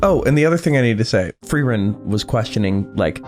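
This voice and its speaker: A man's voice: fairly low pitch, lively intonation, brisk, a little mellow, soft background music. A man hosting an anime reaction show, commenting casually as he watches.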